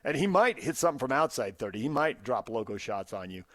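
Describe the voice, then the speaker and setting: A man's voice: mid-range voice, big pitch swings, quick pace, a bit bright, no echo, clean studio sound. An American man co-hosting a sports radio show, arguing his opinions point by point in unscripted debate.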